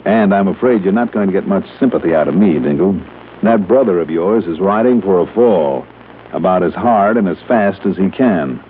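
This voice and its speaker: A man's voice: low-pitched, expressive, normal speed. An American actor playing a tough, wry private investigator who narrates his case in clipped, sardonic old-time radio style. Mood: sardonic, firm. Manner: clipped, sardonic, hard-boiled. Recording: noisy, narrow-band vintage radio recording.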